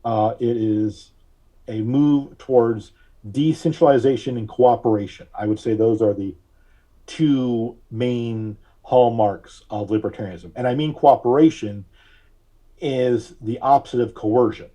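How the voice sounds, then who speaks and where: A man giving measured, carefully worded explanations as a podcast guest. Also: medium pitch, mellow. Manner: measured, deliberate, precise wording. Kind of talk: explanatory interview talk.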